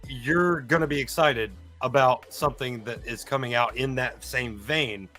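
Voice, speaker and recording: A man's voice: mid-range voice, a little slow, pushed and bright, soft background music. A man hosting a casual live-streamed fan chat, explaining things in an enthusiastic, rambling way. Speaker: podcast host.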